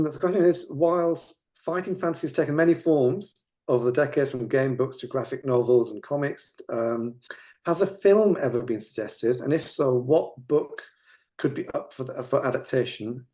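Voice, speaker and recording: A man's voice: mid-range voice, normal speed, dark tone. A man interviewing conversationally as a webinar host, asking tentative, rambling questions in several parts.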